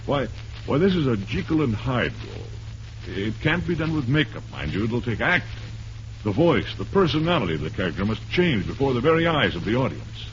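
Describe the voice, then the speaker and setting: An actor playing a successful playwright in an old-time radio drama, gentlemanly and condescending, hesitating as he politely deflects. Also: medium pitch, big pitch swings, fairly quick, close to an everyday voice. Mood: firm, exasperated. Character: successful, uneasy male playwright, politely brushing off pleas. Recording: muffled, hissy old radio recording.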